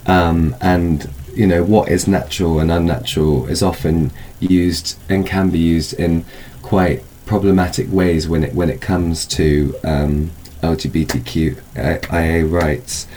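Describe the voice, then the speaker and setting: A man's voice: low-pitched, fairly even intonation, measured pace, dark tone, slight background noise, poor audio. A man speaking as a festival guest, explaining an event informally in hesitant, thoughtful phrases. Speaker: film festival spokesperson, guest.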